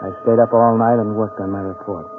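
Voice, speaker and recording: Man acting a troubled veteran detective, low-voiced, tinny radio. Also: level tone, a little fast, natural voice. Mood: grave, confessional. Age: middle-aged. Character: troubled veteran police detective, guilt-ridden, defensive father. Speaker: radio actor. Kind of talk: old-time radio drama acting. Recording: narrow tinny vintage radio, faint music.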